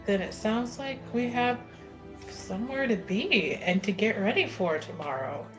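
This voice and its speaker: A woman's voice: mid-range voice, expressive, a little slow, rough recording. A woman improvising in conversation as a player in a tabletop roleplaying game. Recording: music behind the voice. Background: background music.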